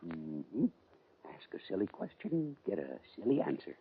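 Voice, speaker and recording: A man's voice: deep voice, very animated, normal speed, vocal fry, breathy edge, phone-quality sound, exaggerated voice. A man playing a grumbling, wordy comic old cowboy sidekick in a radio western. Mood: wry, querulous. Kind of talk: 1940s-50s radio western acting. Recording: thin, noisy old-time radio recording.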